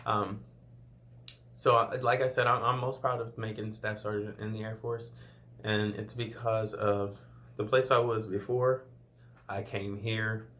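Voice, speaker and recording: Young man from Louisiana, late twenties, low-pitched, chatty and loose, laughing between answers, camera-mic vlog. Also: little pitch movement, a little slow, fairly bright tone. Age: 20s. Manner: chatty, laughs often, rambling asides. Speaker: vlogger. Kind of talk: casual first-video Q&A.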